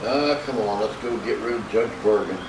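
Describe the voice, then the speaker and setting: A man's voice: lowish voice, moderate pace. A man casually commentating a game he is playing, muttering wryly to himself as he streams. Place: room at home while live-streaming a console game. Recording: echoey room, distant mic, noisy background.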